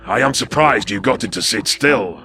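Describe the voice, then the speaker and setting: A man voicing a scrawny, wary alien predator soldier in an audio drama, gravelly, deferential yet cold and terse. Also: lowish voice, lively intonation, normal speed, sharp tone, pitched above the performer's own voice, full character voice. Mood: cold, contemptuous. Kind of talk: audio drama character acting. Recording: music behind the voice.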